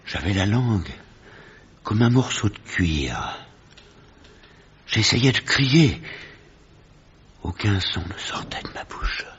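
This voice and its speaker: An actor playing a grave gentleman narrator who recounts horror in measured, literary French radio drama style. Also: on the low side, sing-song, exaggerated voice. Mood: dread, strained. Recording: dull, noisy old radio recording.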